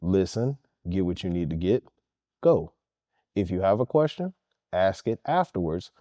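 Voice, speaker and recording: Earnest male coach in an interview; low, dark voice, dry close sound. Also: big pitch swings, measured pace, dry recording. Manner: earnest and emphatic, repeats key points. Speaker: head basketball coach. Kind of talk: interview answers.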